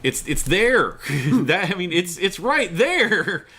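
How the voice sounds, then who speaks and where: A man's voice: sits high, sing-song, takes it slowly, sharp tone, slightly rough. A man co-hosting a discussion show, talking casually and emphatically as he thinks aloud. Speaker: co-host of a pop culture discussion show. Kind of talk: casual opinionated chat. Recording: noticeable background noise and room ambience.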